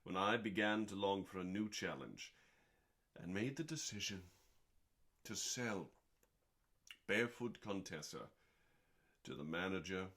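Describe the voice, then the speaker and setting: A man with a fairly low voice reads from a book as the host of a reading show. His delivery is deliberate and theatrical, with pauses and stretched words, meant as calming listening for bedtime.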